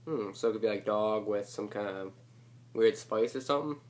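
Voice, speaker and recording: A man's voice: fairly low pitch, moderate pace. Crude, joking male prank caller. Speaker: prank caller hosting his own video. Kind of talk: casual chat, crude and profane. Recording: noisy homemade call recording.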